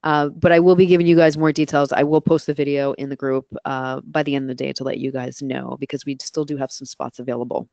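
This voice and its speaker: A woman's voice: very deep voice, level tone, quick pace, vocal fry, hardly any pauses, mellow, dry recording, lo-fi sound. A woman with a voice suited to radio, answering questions as a guest expert in a live webinar and explaining her methods step by step. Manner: practical, explains in steps with self-corrections.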